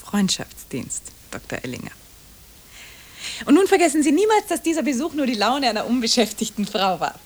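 A woman's voice: on the high side, sing-song, fairly quick. An actress playing an elegant, discreet society lady in a German radio play, poised, refined and formal. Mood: discreet, warning. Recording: hissy vintage radio drama recording.

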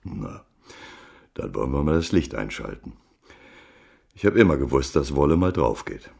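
A man playing a sardonic, knowing informant in a radio crime drama, with a wry, cryptic, unhurried way of talking. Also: a bass voice, big pitch swings, vocal fry, darkish tone, full character voice. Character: sardonic, knowing male informant with a shady past.

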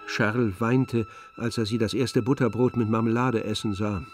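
A man giving scripted literary narration in a radio drama, in a measured, composed manner. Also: on the low side, steady tone, over music.

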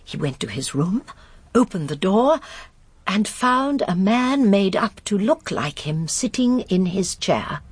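A woman's voice: medium pitch, sing-song, slow pace, husky, no room sound, exaggerated voice. An older actress playing an observant, genteel elderly lady sleuth in a British radio drama, with an English accent and a prim, precise manner. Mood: prim, matter-of-fact. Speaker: actor in a radio mystery drama.

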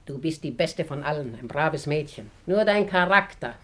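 A woman's voice: low-pitched, animated, moderate pace, fairly bright tone. A woman playing a blunt, imperious old Russian aunt in a German radio drama, commanding and mocking, often repeating her words. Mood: gruffly affectionate. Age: older.

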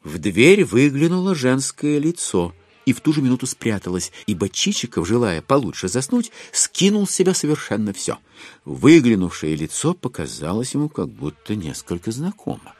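A man narrating a literary audio drama in an expressive scripted style. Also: medium pitch, moderate pace, dry recording.

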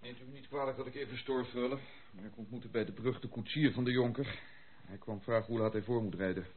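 An actor playing a dutiful manservant of a noble house in a Dutch radio play, formal, measured and deferential. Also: male voice, mid-range voice, steady tone, lightly acted. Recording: tinny phone-like old radio play recording.

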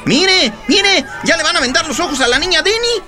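High-pitched folksy Mexican helper. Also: male voice, animated, moderate pace, music in the background, crowd noise. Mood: playful grumbling. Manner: folksy, colloquial. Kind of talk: radio soap acting.

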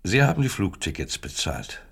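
An actor playing an insistent state security interrogator in a radio drama, sardonic and falsely helpful, with a husky voice. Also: male voice, deep voice, big pitch swings, normal speed, heavily acted. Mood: probing, accusing. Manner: pressing, leading questions, sardonic.

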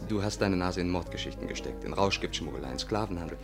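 An actor playing a dry, sardonic gentleman with a wry, unsentimental manner in a 1950s German radio play. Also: low-pitched, lively intonation, fast talker. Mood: reproving. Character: dry, sardonic gentleman companion of a woman sleuth. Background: music.

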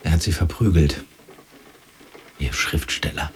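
An actor playing a cocky young schemer in a radio drama, talking in a casual, clipped, wry way. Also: male voice, very deep voice, unhurried. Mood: subdued. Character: cocky, scheming young male friend acting as manager. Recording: loud car and ambient noise. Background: vehicle and ambient noise.

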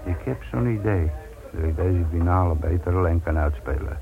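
Through a narrow, telephone-like old radio recording comes a stubborn police inspector. His voice sits fairly low, and his banter is dry, ironic and insolent, in radio-play style. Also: normal speed, vocal fry. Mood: firm, caring. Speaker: actor in a Dutch radio play. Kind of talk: radio drama acting.

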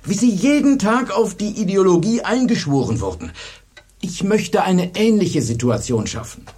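Casting: driven history teacher, radio drama, high-set voice, earnest and teacherly. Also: male voice, sing-song, moderate pace, darkish tone, breathy edge, full character voice. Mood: absorbed, pensive. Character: driven, thoughtful high school history teacher, married man. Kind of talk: German radio play acting.

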